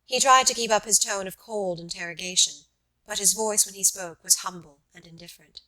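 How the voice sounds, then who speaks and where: A woman narrates literary fiction for an audiobook. Her voice sits in a middle register with a clear bright edge, and her phrasing is measured and precise. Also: mid-range voice, rough recording.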